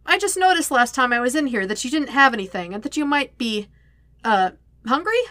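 A woman's voice: sits high, lively intonation, fairly quick, sharp tone, no room sound, studio quality, voice pushed up. A woman voicing an awkward, kind teenage boy in an audio story, with rambling, hesitant, slangy talk. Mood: nervous, friendly.

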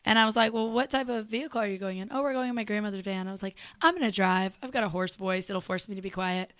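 A woman's voice: medium pitch, fast talker, fairly bright tone, no room sound. A young woman co-hosting a podcast, telling candid, chatty stories about her plans in a casual chat. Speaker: podcast co-host.

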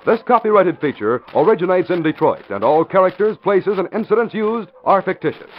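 Animated higher-voiced old-time radio announcer, male. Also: a little fast. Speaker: announcer and narrator. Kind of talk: 1940s radio announcing, scripted narration. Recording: dull lo-fi old radio recording.

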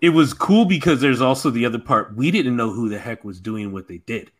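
A man's voice: medium pitch, animated, a little fast. A man on a podcast panel, giving his opinions in casual review chat.

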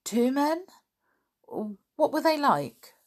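A woman reading a storybook and voicing a steady, sensible boy who leads his friends, in a calm and reasoning manner. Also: high voice, very slow, voice pushed up, full character voice.

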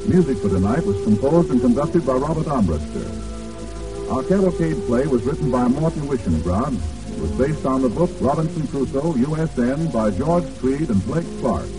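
An American man announcing on 1940s radio, reading a script in a formal, polished way with deliberate emphasis. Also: mid-range voice, sing-song. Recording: old 1940s radio broadcast, distant, music.

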